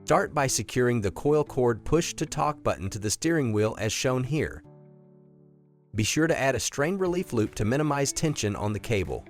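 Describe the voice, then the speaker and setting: A man reading scripted instructional narration in a clear, methodical, step-by-step way, as the narrator of an installation video. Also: mid-range voice, expressive, moderate pace, faint music behind.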